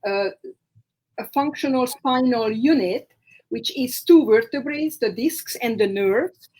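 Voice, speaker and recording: A woman's voice: a little high, a little mellow, husky, rough recording. A middle-aged woman from Romania, whose first language is Hungarian, patiently explaining her therapy work as a guest in a live video-call interview, with frequent fillers. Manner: explains slowly with many fillers.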